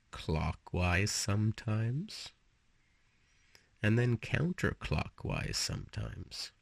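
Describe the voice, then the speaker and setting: A man leading a guided relaxation meditation with storytelling, speaking slowly and soothingly in an instructive way with pauses. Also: fairly low pitch, vocal fry, no room sound.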